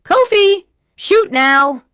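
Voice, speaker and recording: A mystical one-eyed seer from a storybook, voiced by a woman, sure of himself. The delivery is animated in pitch and the sound is dry. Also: extremely slow, no room sound, full character voice. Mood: urgent.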